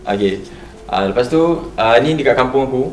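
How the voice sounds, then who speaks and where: A young man with a Malaysian accent telling a story casually, in a halting way with many pauses. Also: mid-range voice. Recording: roomy, distant mic, faint noise.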